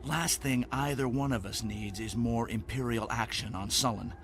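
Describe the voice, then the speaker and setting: An actor playing a blustering, suspicious rebel in an audio drama, with a sneering, needling way of talking. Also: male voice, medium pitch, normal speed, background noise, close to an everyday voice.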